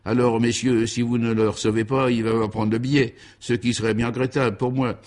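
A man's voice: mid-range voice, level tone, a little fast, a little mellow, close to an everyday voice. An obsequious valet played by a man in a comic radio serial. He is deferential to a fault and winds through long, circling, polite phrases. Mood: pleading, servile. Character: obsequious, wordy hotel valet, a male servant.

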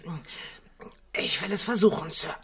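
A woman playing a nervous, deferential bar assistant in a radio drama, speaking hesitantly and apologetically with a husky, breathy voice. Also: lowish voice, normal speed. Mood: shy.

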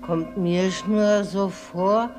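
Eine Schauspielerin spielt im Hörspiel eine müde, gebrechliche alte Mutter mit schlesischem Akzent, die klagend spricht. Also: female voice, medium pitch, fairly even intonation, extremely slow, music in the background. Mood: weary, plaintive. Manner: plaintive, weary. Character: weary, frail old mother longing for death. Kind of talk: German radio play acting.

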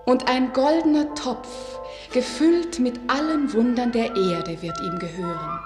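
An actress playing an enchanted serpent maiden, solemnly and lyrically telling a legend in a radio play. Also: female voice, a little high, unhurried, natural voice. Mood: solemn, wistful. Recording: long echoing reverb. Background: music.

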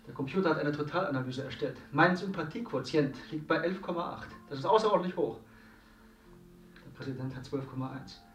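A proud, ambitious husband in a radio play, played by a man. His voice is slightly high and emphatic as he explains himself. He sounds off-mic in a very roomy space, with some music about. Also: a little fast, recorded from a distance, lightly acted. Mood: proud, insistent. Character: self-assured, eager male actor, a husband with grand ambitions. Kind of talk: radio drama acting.

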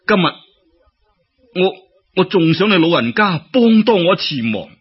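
A man plays a tipsy husband from a folk story, asking a favour in halting, stammering bursts. The voice is rough-edged and swoops up and down, on a muffled radio-style recording. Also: sits high, very animated, voice pushed up, heavily acted. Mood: tipsy, pleading. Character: tipsy young husband pleading awkwardly for a favour.

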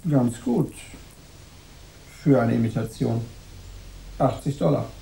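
An actor playing a blunt, dry shopkeeper in a radio drama, speaking deliberately in an echoey room. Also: male voice, medium pitch, vocal fry, dark tone. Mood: dry, reluctant.

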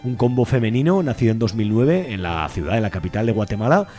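A man's voice: fairly low pitch, very animated, fast talker, warm tone, soft background music. A man with an accent from Spain, presenting a radio show in a conversational way. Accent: Spanish from Spain.

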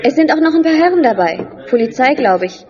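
A woman's voice: sits high, lively intonation, brisk, darkish tone. A woman playing a young, unsure but helpful theatre worker in a radio play. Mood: hesitant, helpful. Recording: muffled, reverberant hallway sound.

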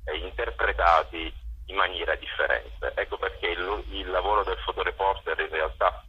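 A man's voice: low voice, normal speed, husky, lo-fi sound. A man organizing cultural events, answers interview questions, hesitant and full of fillers.

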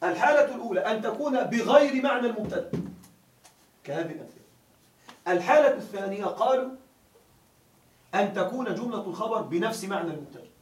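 A man's voice: sits high, animated, fast talker, pauses often. A man teaching a grammar class, explaining step by step and quizzing his students with questions. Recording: echoey classroom from a distance, faint hiss.

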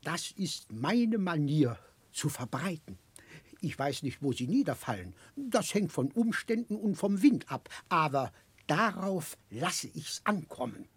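An older, kindly eccentric with a muddled, childlike mind. His husky voice moves slowly and swings widely in pitch as he rambles and confides. It is classic 1960s radio drama, recorded close and dry. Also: sing-song, no echo, heavily acted. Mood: gently proud. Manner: chuckling, halting, confiding, rambling.

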